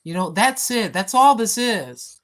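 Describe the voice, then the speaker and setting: A woman's voice: medium pitch, lively intonation, a little slow. Chatty grandmother rambling on a live stream. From United States. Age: 60s. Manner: rambling, chatty, addresses viewers directly with many fillers. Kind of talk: casual live-stream chat. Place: home, seated. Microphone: phone.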